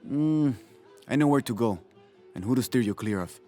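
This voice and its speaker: A man's voice: medium pitch, lively intonation, normal speed, warm tone, soft background music, natural voice. An actor playing a chatty local man in a video game who offers to guide a visitor around town. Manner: chatty and knowing. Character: male local villager, a chatty guide who turns eerie. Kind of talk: video game cutscene acting.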